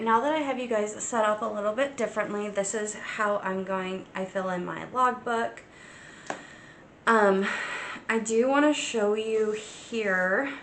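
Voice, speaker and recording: A woman hosting a casual crafting vlog, stuffy and sniffly from a cold, talking in an unhurried way with plenty of ums and restarts. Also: on the high side, vocal fry, echoey room, slight background noise. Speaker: crafting channel host. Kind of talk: casual show-and-tell vlog.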